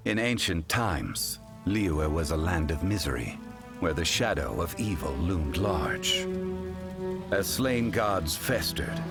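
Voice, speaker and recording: A man playing a solemn storyteller in a video game, narrating a dark ancient legend in a grave, portentous manner. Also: deep voice, exaggerated voice. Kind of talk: scripted dramatic narration.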